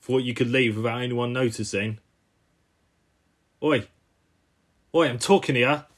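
A man's voice: mid-range voice, a little slow, close to an everyday voice. A bullying steamroller from a children's cartoon, put on by a man. He blusters and boasts, picking fights with obsessive, combative self-importance. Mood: belligerent. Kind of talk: amateur cartoon character voice reel.

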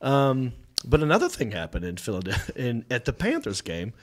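A man's voice: medium pitch, brisk. A man in his forties with a drawl, talking into a studio microphone on a podcast. His voice rises and falls a lot as he tells a story. He teases his co-host in a loose, comic way. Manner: animated storytelling, teasing. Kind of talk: casual comedic chat. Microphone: studio mic on boom.

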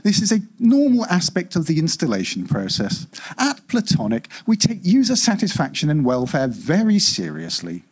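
Give me a customer-service AI from a near-future story, read aloud by a man. It is unfailingly polite and quite implacable. The patter is scripted and corporate, in a slightly husky voice with lively swings of pitch. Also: normal speed, full character voice. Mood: bland, implacable. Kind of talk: live short-story reading.

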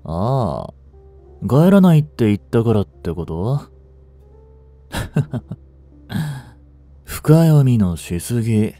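A man playing a blunt, languid young delinquent and protective childhood friend, drawling gruffly and teasingly in an intimate audio drama. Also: medium pitch, very animated, extremely slow, pauses often, dark tone, faint music behind, exaggerated voice. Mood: casual, teasing.

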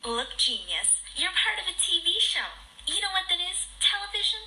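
Sharp, high sarcastic cat played by a woman. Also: very animated, moderate pace, heavily acted, rough recording. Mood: dry, mocking. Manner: sarcastic, mocking. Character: sarcastic, streetwise female cat. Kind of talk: animated film fandub.

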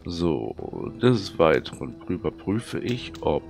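A man with a cold and a hoarse, thick voice, casually commenting on his gameplay and muttering to himself as he plays. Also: sits low, big pitch swings, takes it slowly, a little mellow, soft background music. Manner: chatty, muttering to himself while playing. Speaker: let's play commentator.

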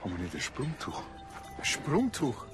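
Eager, cocksure Bavarian cop, mid-range voice, colloquial TV comedy delivery. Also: male voice, medium pitch, huge pitch swings, moderate pace, music in the background. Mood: confident. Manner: colloquial, self-assured. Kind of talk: TV crime comedy acting.

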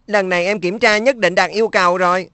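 A woman's voice: medium pitch, steady tone, quick pace, fairly bright tone, no room sound, lightly acted. A woman voicing a caring, earnest older brother who coaxes his little brother in a cartoon dub. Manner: earnest, coaxing.

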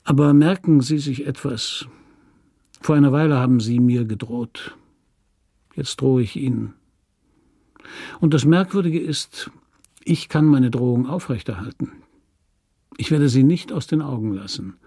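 A man voicing a stern, measured lawyer in a German radio story, deliberate and firm, with quiet menace. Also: medium pitch, husky, dry recording, clean studio sound. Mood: quietly threatening.

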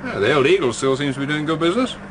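A man's voice: fairly high pitch, big pitch swings, racing. An actor with a British accent playing a curious, uneasy man in a radio drama, hesitant and questioning. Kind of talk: naturalistic radio drama acting. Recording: vehicle noise around the voice.

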